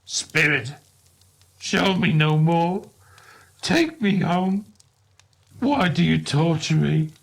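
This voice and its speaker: A man voicing an anguished, miserly old man in a storybook reading, pleading in a husky, agitated voice. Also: on the high side, slow, long pauses, fairly bright tone, voice pushed up, heavily acted.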